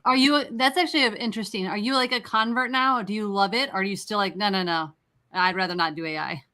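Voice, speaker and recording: A woman's voice: medium pitch, fast, bright, forceful tone. A woman moderating a casual interview, asking questions in an informal, engaged manner.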